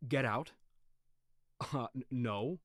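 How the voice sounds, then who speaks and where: A man's voice: medium pitch, slow, fairly bright tone, no room sound. Casual man, dry close sound. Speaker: founder of an audio production company, playing himself. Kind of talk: casual conversational acting in a comedy skit.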